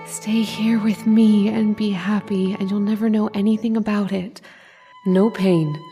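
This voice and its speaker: Woman acting sweetly eerie possessive lover, old-time radio drama, tender, insistent coaxing, clear violin music behind. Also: medium pitch, little pitch movement, measured pace, dark tone, lightly acted. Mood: coaxing, ominous.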